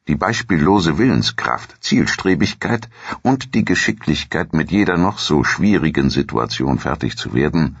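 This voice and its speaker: A man reading a scripted historical audio documentary as narrator, in a measured, formal storytelling delivery. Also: very deep voice, vocal fry, fairly bright tone, slightly rough, dry recording, dull audio.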